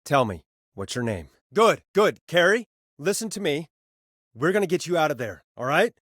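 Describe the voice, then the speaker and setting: Animated, harried late-night radio DJ. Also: male voice, a little high, normal speed, dry recording, clean studio sound. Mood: urgent, reassuring. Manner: hesitant, stammering, reassuring, wry. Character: harried but kind late-night radio DJ taking emergency calls. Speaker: radio host. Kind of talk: video game voice acting, conversational radio patter.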